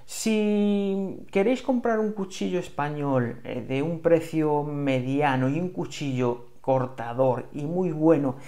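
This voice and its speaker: A man's voice: fairly high pitch, takes it slowly, mellow. A man with an accent from Spain, explaining things in a casual hands-on review, conversational and a little hesitant. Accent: Spanish from Spain. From Galicia, Spain. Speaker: bushcraft knife reviewer.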